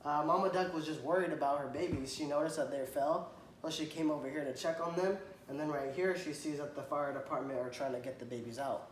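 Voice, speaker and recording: A man reading a children's picture book aloud to a child, stopping to point at the pictures and ask questions, and often clearing his throat. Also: fairly high pitch, fairly even intonation, quick pace. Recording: echoey room, faint background noise.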